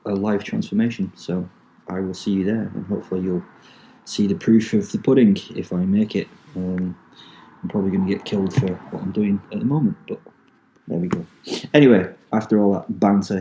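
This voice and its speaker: A man's voice: low voice, mellow. A man with a Manchester accent, hosting a podcast, rambling casually with swearing and lots of ums, then reading out a story he wrote. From England. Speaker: podcast host.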